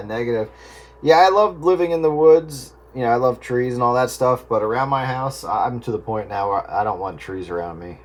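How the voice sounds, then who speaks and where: A man's voice: medium pitch, normal speed. A man chatting casually as an amateur radio operator over the air. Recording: radio transmission, heavy static and clicks.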